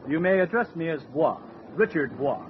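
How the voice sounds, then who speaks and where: An actor playing a suave, menacing recruiter of sailors, speaking formally and with cool politeness in an old-time radio horror drama. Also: male voice, on the high side, very animated, normal speed. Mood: coolly polite. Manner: formal, wordy, coolly polite. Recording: muffled, noisy radio drama recording.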